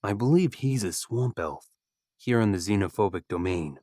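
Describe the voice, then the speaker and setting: A man playing a calculating, pragmatic bandit archer in an audio drama, with a measured, matter-of-fact delivery. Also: lowish voice, lively intonation, warm tone, dry recording, clean studio sound. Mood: cool, scheming.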